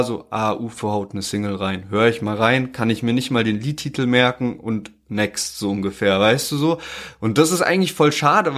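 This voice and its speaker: A young man co-hosting a casual podcast chat, talking loosely with lots of fillers and asides. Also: mid-range voice. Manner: relaxed, rambling, many fillers. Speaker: podcast co-host. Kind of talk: casual chat about rap releases.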